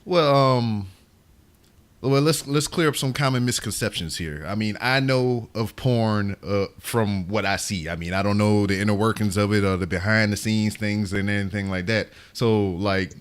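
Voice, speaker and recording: A man's voice: on the low side, moderate pace. A man hosting a casual podcast interview, joking and swearing in slangy banter. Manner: joking, profane, laughs often. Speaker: podcast host interviewing a guest.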